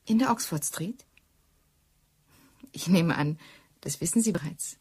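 An actress playing a self-assured, well-mannered young secretary, formal and evasive, in a radio play. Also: female voice, lowish voice, expressive, measured pace, warm tone, husky, exaggerated voice. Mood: cool, guarded. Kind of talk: German radio drama dialogue.

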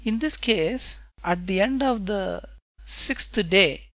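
A man teaching software testing in a screencast lecture, talking step by step and checking in with "right?" after his points. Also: high-pitched, no echo, muffled recording. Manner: slow, deliberate explaining, tag questions "right?".

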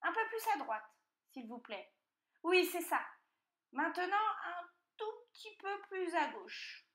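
A woman's voice: extremely high pitch, big pitch swings, slow, fairly bright tone, reverberant, voice pushed up, exaggerated voice. A woman voicing a cunning young male piglet in a children's storybook, politely pleading with false innocence. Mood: wheedling.

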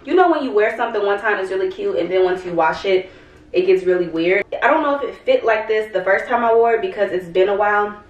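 A woman's voice: mid-range voice, quick pace, muffled recording. Young woman vlogging in an echoey room. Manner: chatty and relaxed, many "um" and "like" fillers. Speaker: lifestyle vlogger. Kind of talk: casual chat to camera. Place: bedroom or dressing room at home, indoors. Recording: roomy echo of a bare room.

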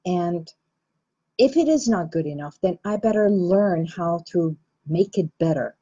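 A woman's voice: fairly low pitch, lively intonation, measured pace, warm tone, slightly rough, highs cut off. A woman with an Armenian accent gives an earnest, thoughtful talk as a healing practitioner and host. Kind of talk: reflective talk to camera.

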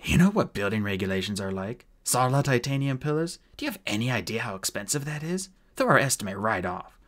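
A man's voice: medium pitch, animated, brisk, a bit bright, natural voice. A man voicing a sulky, cheeky dwarf convict from an audiobook, with slangy, flippant wheedling. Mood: unrepentant.

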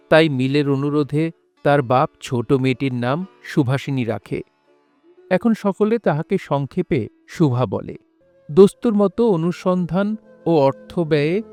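A man narrating a literary story in a formal, measured, solemn style. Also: on the high side, warm tone. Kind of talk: scripted literary narration in old formal Bengali.

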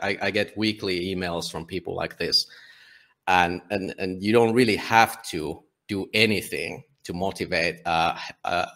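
A man's voice: deep voice, fairly bright tone. A man from Finland, a native Finnish speaker, talking casually and bluntly as an interview guest, swearing freely. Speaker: podcast guest, volunteer book publisher.

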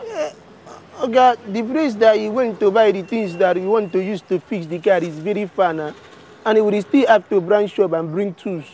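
A man's voice: sits high, moderate pace, darkish tone, a little hiss or hum, low-quality recording. A man with a Nigerian accent playing a sly young apprentice who stalls and fumbles for answers. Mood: evasive. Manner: hesitant, stalling, then giggly boasting. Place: outdoors on a street.

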